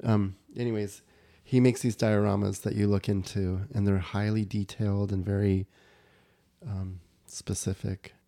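Man, podcast host, low mellow voice, rambling questions. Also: level tone, a little slow, vocal fry. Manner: rambling, stacked questions with restarts. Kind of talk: casual interview questions.

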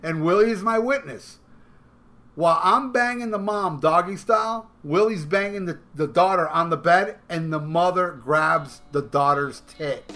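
A man's voice: high voice, a little slow, bright, forceful tone, slight background noise. A middle-aged man hosting a podcast, telling a long crude story in a rambling, profane way with stumbling restarts. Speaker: podcast host. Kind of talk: casual storytelling. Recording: music playing clearly underneath.

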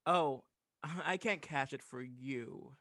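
A man's voice: medium pitch, slow, sharp tone. A man playing a fussy, exasperated, skeptical telegraph clerk in an old-time radio play. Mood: officious.

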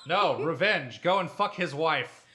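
A man's voice: fairly high pitch, lively intonation, a little slow, pushed and bright, slight background noise. A man co-hosting a casual, bantering chat in a crude, jokey manner. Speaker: podcast co-host.

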